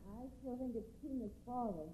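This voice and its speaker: A woman's voice: fairly high pitch, steady tone, a little fast, warm tone, husky. An actress playing a refined woman who accompanies an investigator, in 1940s radio drama style. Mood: skeptical, weary. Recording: tinny, narrow-band 1940s radio recording.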